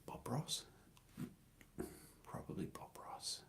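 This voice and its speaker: A man whispering an ASMR question-and-answer ramble in a soft, hesitant and thoughtful way. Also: takes it slowly.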